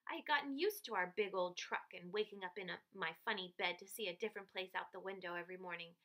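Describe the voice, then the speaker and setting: Bright-voiced woman reading a bedtime story. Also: mid-range voice, fast. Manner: expressive, explanatory storyteller. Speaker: read-aloud host and storybook reader. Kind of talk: bedtime picture-book read-aloud for children.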